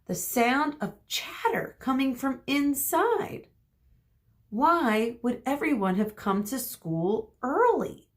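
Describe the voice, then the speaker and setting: Slow-paced woman reading to children. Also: high voice, big pitch swings. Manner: explains words and asks listeners questions. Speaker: teacher reading a children's book aloud. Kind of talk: expressive read-aloud with explanatory asides to children.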